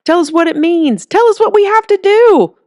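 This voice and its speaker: A woman voicing an irate old townsman who grumbles and demands loudly in an audiobook. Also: very high voice, animated, moderate pace, no room sound, studio quality, voice pushed up. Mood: angry demanding.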